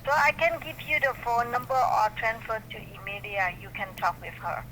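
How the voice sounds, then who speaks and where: A woman's voice: a little high, lively intonation, normal speed, bright, forceful tone. Hesitant woman on a noisy phone line. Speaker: call center representative. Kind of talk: customer service phone call.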